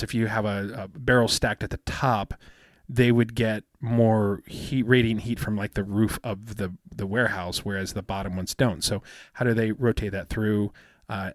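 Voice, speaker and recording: Soft-spoken low-voiced man, rambling. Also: steady tone, moderate pace, dry recording. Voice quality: soothing, smooth voice. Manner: rambling with many fillers and restarts. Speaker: podcast co-host. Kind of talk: casual chat.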